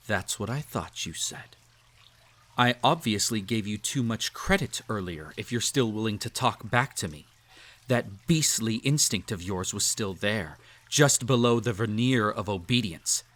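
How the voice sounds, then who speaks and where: A man's voice: fairly low pitch, heavily acted. A man playing a cruel, possessive emperor in a roleplay audio, speaking with haughty, silky condescension. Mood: cold, menacing. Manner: haughty, condescending, drawn-out teasing delivery with soft chuckles. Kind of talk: roleplay audio acting, intimate second-person monologue.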